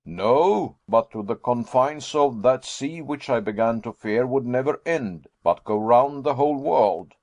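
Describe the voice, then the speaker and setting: A man voicing a jovial, excitable elderly professor and explorer who speaks in a hearty, booming voice. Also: measured pace, hardly any pauses, dry recording, dull audio.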